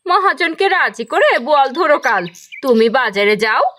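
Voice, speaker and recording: A woman voicing an excitable, grudging village wife in a cartoon, expressive and domineering. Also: sits high, moderate pace. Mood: pleased. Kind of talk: cartoon dialogue.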